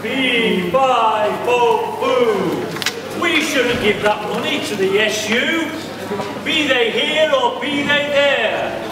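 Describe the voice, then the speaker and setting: A man playing an evil, pompous comic giant in an amateur stage pantomime, chanting and declaiming slowly. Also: extremely high pitch, crowd noise. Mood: menacing, chanting. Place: large indoor hall, live stage show. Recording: echoey hall from far off, audience noise.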